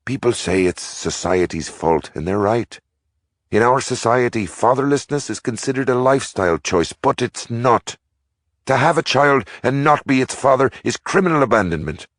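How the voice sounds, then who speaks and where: A man's voice: medium pitch, animated, normal speed, dry recording, studio quality, pitched above the performer's own voice, lightly acted. A man doing an old Irish priest lecturing. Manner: lecturing, earnest.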